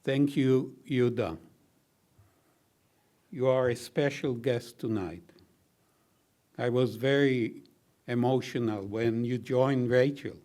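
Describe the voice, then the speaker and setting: An older man with a baritone voice delivers closing remarks at a university reception, speaking very slowly and deliberately. His pitch sits in an ordinary middle range, and his gratitude comes with the odd gentle joke. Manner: slow, measured, gently humorous. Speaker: honoree giving closing remarks. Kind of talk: heartfelt formal thank-you speech.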